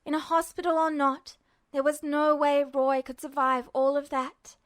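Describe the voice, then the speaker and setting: A woman's voice: high voice, little pitch movement, normal speed, no echo, studio quality. A woman narrator reading fiction in a dramatic audiobook style.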